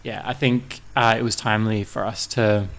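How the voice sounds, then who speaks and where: A man's voice: on the low side, level tone, moderate pace, fairly bright tone, slight background noise. A young man from New Zealand, a musician guest talking casually and rambling, with many fillers and restarts. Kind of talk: casual interview chat.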